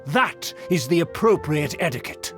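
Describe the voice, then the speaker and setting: A man's voice: fairly high pitch, expressive, moderate pace, lightly acted. A man playing a haughty, contemptuous aristocrat of a fallen noble clan in a video game, speaking with pompous, formal disdain. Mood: haughty, scolding. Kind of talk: scripted video game voice acting. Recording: music behind the voice. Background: background music.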